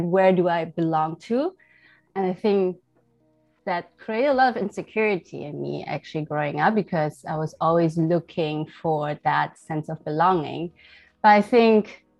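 A young woman raised in Germany answers questions as a podcast guest in a relaxed, candid way. Her voice sits a little low, and her sentences wander with frequent "like"s and "I think"s. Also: dull audio. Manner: chatty, peppered with "like" and "I think". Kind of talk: casual personal interview.

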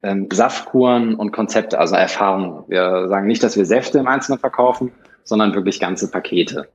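A man from Hamburg, a startup founder, answering interview questions in a quick, hesitant way full of fillers and restarts. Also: fairly low pitch. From Hamburg, Germany. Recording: dull lo-fi sound.

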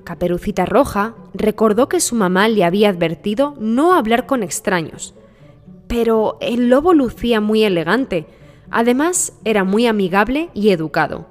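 A woman reads a children's story as its narrator, at a middle pitch. Her voice sits in long reverb, with music playing behind the scripted narration. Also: animated, moderate pace.

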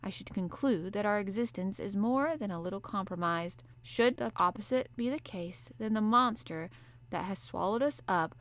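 A woman reading a calm, polite manservant. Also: mid-range voice, normal speed, natural voice. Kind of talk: audiobook character voice.

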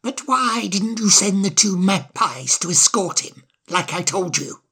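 A man voicing a menacing, sarcastic male fox who bosses others around in a storybook reading, with a slow, cold manner. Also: high-pitched, animated, pushed and bright, husky, voice pushed up, exaggerated voice. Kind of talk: storybook audiobook character voice.

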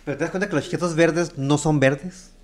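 A man's voice: fairly high pitch, fairly even intonation, fairly quick. Laid-back man. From Baja California. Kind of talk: casual chat among friends.

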